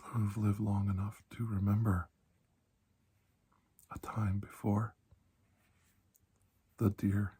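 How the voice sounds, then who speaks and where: Husky, low-voiced man in soft ASMR. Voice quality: breathy, husky. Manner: slow, calming, deliberate with long pauses. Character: calm, reassuring older military doctor treating alien infection. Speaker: ASMR performer playing a doctor. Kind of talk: soft-spoken ASMR medical roleplay, often near whisper.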